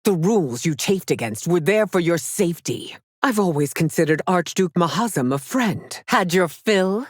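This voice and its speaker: An actress playing a haughty, regal, middle-aged warrior empress in a video game, speaking formally and imperiously with theatrical diction. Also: female voice, fairly low pitch, animated, normal speed, darkish tone, dry recording, studio quality. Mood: commanding. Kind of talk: video game character voice lines.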